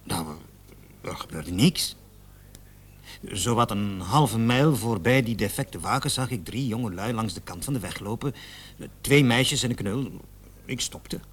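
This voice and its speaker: A man's voice: lowish voice, expressive, unhurried, breathy edge. A man acting in a radio drama as a nervous, evasive salesman under questioning, stammering and repeating himself. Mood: evasive, uneasy. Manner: stammering, flustered, repeats words. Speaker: suspect under police questioning. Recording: noisy old radio play recording.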